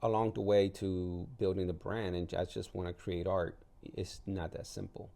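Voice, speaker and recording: A man's voice: low-pitched, level tone, normal speed, vocal fry, dark tone. A man from El Salvador, an artist and gallery owner, talking casually and anecdotally as an interview guest.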